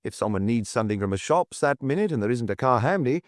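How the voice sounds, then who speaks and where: A man voicing an evasive, smooth male publicist from a detective audiobook, protesting hesitantly and feigning vague memory. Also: medium pitch, lively intonation, fast, dry recording, studio quality, close to an everyday voice. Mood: evasive, defensive.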